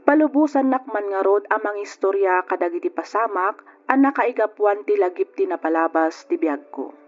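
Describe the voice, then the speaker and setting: An actress with an Ilocano accent playing a wounded lover telling a bitter life story in an old radio drama. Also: female voice, medium pitch, animated, normal speed, close to an everyday voice. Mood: sorrowful, reflective. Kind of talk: dramatic first-person radio drama narration. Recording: muffled old radio recording with music.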